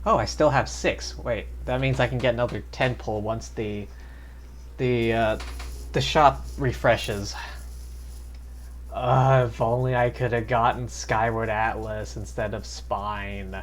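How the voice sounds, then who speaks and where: A male game streamer gives casual running commentary. His voice sits at an average male pitch. There is faint noise in the background. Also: fairly even intonation, a little slow. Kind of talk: casual livestream commentary and reading game dialogue aloud.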